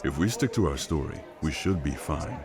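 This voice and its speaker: A man playing a weary, cautious operative and new father in naturalistic drama, measured and understated. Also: extremely low pitch, expressive, gravelly, exaggerated voice. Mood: resolute. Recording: background music and crowd under dialogue.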